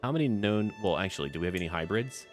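Man, low voice, casual strategy game commentary, short thinking-aloud remarks, talks to characters, faint music behind. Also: lively intonation, quick pace. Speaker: gaming video host. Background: background music.

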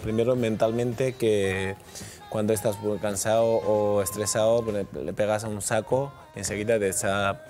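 A man in his forties from Georgia with a Georgian accent, a boxing coach speaking halting, simple Spanish as a TV guest. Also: on the low side, little pitch movement, a little mellow, soft background music. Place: TV studio set. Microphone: lapel mic.

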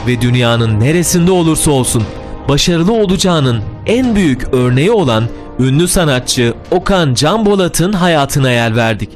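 A man giving slow, emphatic scripted narration for a promotional documentary. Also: a little high.

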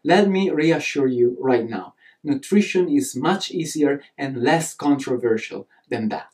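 Man with italian accent, thirties, earnest lecturer, mellow tone, emphatic, gesturing as he speaks. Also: medium pitch, unhurried. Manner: earnest, animated hand gestures, emphatic delivery. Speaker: nutrition course instructor. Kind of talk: scripted lecture to camera.

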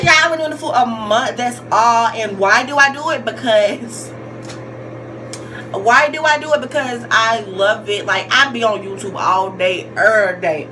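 High-voiced young Southern woman vlogging. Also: sharp tone, low-quality recording. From Georgia, United States. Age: 20s. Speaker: YouTube vlogger. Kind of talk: casual question-and-answer vlog.

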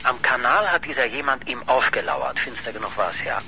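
An actor in a radio crime drama playing a pushy, sardonic newspaper reporter who needles insistently. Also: male voice, medium pitch, expressive, a little fast, pushed and bright, slight background noise. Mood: theorizing, insistent.

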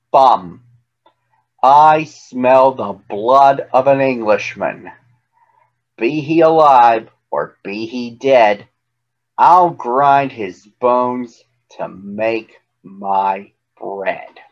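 Bellowing storybook giant, played by a male narrator: huge, short-tempered, commanding, slow and heavy, slightly muffled recording. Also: medium pitch. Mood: menacing, bellowing. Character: huge bad-tempered man-eating fairy-tale giant.

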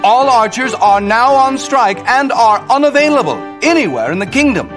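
A man, playing a pompous medieval news anchor in a theatrical, deadpan way. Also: extremely high pitch, moderate pace, faint music behind, exaggerated voice. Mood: arch, deadpan. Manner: theatrical, deadpan newsreader delivery. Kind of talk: parody newscast in a video game.

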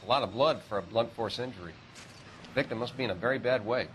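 An actor playing a brisk, dry police doctor giving matter-of-fact assessments in a video game. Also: male voice, medium pitch, faint music behind, close to an everyday voice, rough recording. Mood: clinical. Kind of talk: video game cutscene acting.

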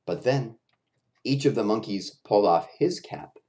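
A man in his thirties narrating a children's book in short phrases with deliberate, dramatic pauses. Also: on the low side, expressive, darkish tone. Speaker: storybook reader. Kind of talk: children's read-aloud narration. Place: small room indoors.

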